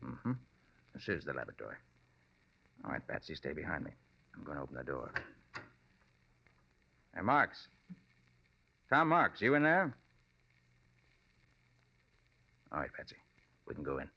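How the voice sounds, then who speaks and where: An actor playing a confident, protective private detective in a brisk, commanding 1940s radio drama style. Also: male voice, extremely low pitch, sing-song, gravelly, slightly rough, heavily acted. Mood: cautious, commanding. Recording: muffled old-time radio recording.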